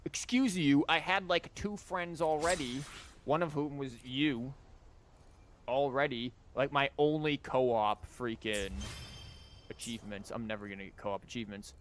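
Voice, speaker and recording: A man's voice: medium pitch, fairly even intonation, unhurried, slight background noise. A man giving casual livestream commentary on a game, rambling informally and thinking aloud with false starts. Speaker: video game streamer.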